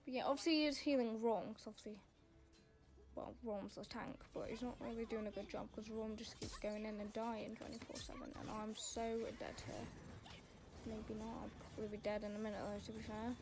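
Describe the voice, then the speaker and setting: Brief: young female streamer, higher voice, rambling chatty commentary, audible breathing, game music underneath. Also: flat delivery, fairly quick. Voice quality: audible loud breathing between sentences. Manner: rambling, chatty, self-deprecating. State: voice going croaky. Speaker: gaming channel host. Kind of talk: casual gameplay commentary.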